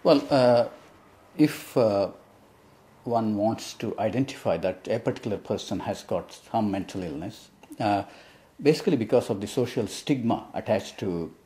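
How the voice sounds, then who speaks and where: Man from India, mellow voice, polite, hesitant, TV interview host. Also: lowish voice, lively intonation, normal speed. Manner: polite, hesitant, with frequent uh fillers. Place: TV studio.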